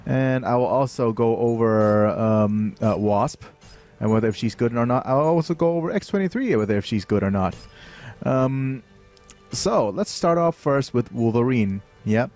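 A man's voice: mid-range voice, lively intonation, darkish tone. Young thai guy streaming a game, lots of uh and um, faint music behind him. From Thailand. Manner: halting, many fillers and restarts. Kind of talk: live game commentary.

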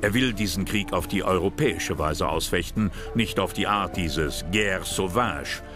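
A man giving scripted documentary narration in a calm, measured, serious way, with music behind. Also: sits low.

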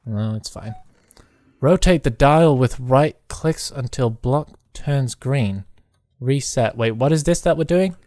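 A man's voice: mid-range voice, takes it slowly, mellow, no room sound, studio quality. A man giving casual gaming commentary to a co-player, often chuckling as he talks. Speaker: video game player. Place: indoor voice-over close on mic during gameplay.

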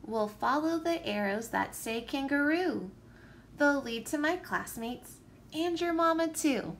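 A woman's voice: high voice, expressive, a little slow, a little hiss or hum. A woman voicing a plucky, cheerful little gingerbread man in a children's storybook, with bouncy, determined rhyming delivery.

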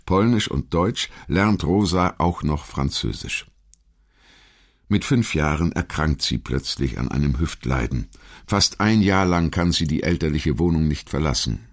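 A man reading scripted biographical narration in a measured, formal storytelling manner. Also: extremely low pitch. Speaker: documentary narrator.

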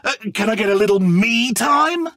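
A man's voice: sits high, expressive, moderate pace, a bit bright, no echo, clean studio sound. An actor playing a petulant, needy, godlike male villain in a video game, with a British accent and a theatrical, sarcastic, stammering manner. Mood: exasperated, whiny. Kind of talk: video game character acting.

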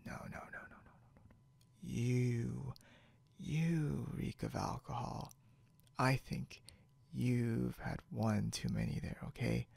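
A man playing a very slow, gravelly drunk guy. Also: mid-range voice, fairly even intonation, a little mellow, no echo, heavily acted. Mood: babbling denial. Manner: rambling, slurred-slow, giggly, whispery at times. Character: drunk, tired, clingy, playful young man. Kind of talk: intimate ASMR boyfriend roleplay. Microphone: close microphone, dry.

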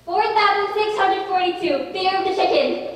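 A woman's voice: extremely high pitch, normal speed, crowd noise. A woman playing a perky young dancer in a stage musical, projecting her lines. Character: perky young female dancer introducing herself. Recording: echoey theatre from far, faint noise.

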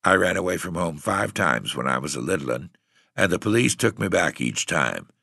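A man voicing a frail, husky eighteen-year-old runaway tramp boy with a cocky, bitter, streetwise way of talking. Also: low-pitched, very animated, normal speed, sharp tone, no echo, clean studio sound, voice pushed up, heavily acted. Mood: defiant, streetwise. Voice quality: husky, hoarse.

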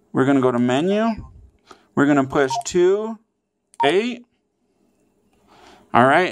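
A man's voice: fairly high pitch, a little hiss or hum. A man presenting a casual step-by-step how-to, often checking in with okay and all right. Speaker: tutorial presenter.

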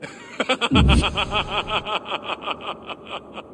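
Bitter undead warrior, game cutscene: slow theatrical delivery, slightly high, drenched in tomb reverb. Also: male voice, animated. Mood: grimly proud. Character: bitter, vengeful ghost of a betrayed ancient British warrior. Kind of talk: dramatic video game monologue. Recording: long cavernous reverb, clear ambient noise.

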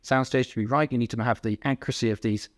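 Mid-pitched man explaining technical details. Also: steady tone, fast. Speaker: technical director explaining a product. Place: indoor company workroom.

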